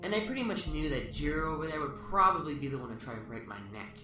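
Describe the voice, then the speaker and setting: A man's voice: a little high, fast. A man playing a smug, taunting killer. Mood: smug, mocking. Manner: mocking, calm, toying. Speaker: arrested suspect under interrogation. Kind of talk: amateur radio drama acting. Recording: roomy, distant mic, music underneath.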